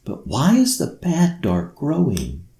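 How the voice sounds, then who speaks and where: An eager, earnest young girl from a children's storybook, acted out by a male reader. The pitch is raised and swoops with wonder and worry, while the tone stays soft and mellow. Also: wildly swooping pitch, slow, gravelly, reverberant, heavily acted. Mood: concerned, insistent. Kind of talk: children's bedtime storybook reading.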